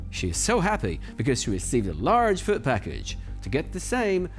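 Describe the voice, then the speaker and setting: Sardonic guy hosting a satirical news show, super animated pitch swings, bit of music under it. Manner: sardonic, mocking. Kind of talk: scripted satirical commentary.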